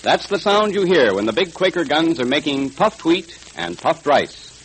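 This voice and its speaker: A man's voice: fairly high pitch, normal speed. A man announcing and narrating in polished, emphatic 1940s radio style, as for adverts and adventure serials. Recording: crackly old radio recording, steady hiss.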